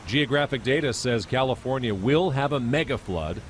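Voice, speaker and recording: Animated man over outdoor noise. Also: mid-range voice, normal speed. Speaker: news video presenter. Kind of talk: scripted news explainer. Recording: clear urban outdoor background noise.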